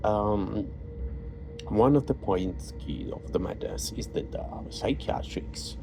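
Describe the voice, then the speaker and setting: A man with an Italian accent, a native Italian speaker, talking in a halting personal monologue full of fillers and restarts. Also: on the low side, over music. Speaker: vlogger. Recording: noisy background.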